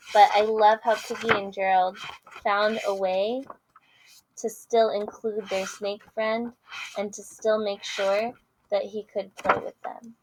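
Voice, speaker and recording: A woman's voice: mid-range voice, background noise. A woman teacher addressing young readers in a picture-book read-aloud lesson. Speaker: teacher reading aloud to students.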